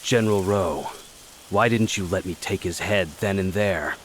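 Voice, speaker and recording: A man as a deep-voiced, ruthless captain. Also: moderate pace, background noise, natural voice. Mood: contemptuous. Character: arrogant, ruthless male army captain, ambitious villain. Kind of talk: video game cutscene acting.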